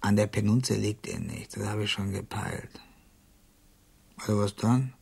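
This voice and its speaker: An actor playing a brash, slangy tempter who pushes a deadly deal. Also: male voice, on the low side, fairly even intonation, unhurried, creaky, darkish tone, husky, heavily acted. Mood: menacing. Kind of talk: radio play acting.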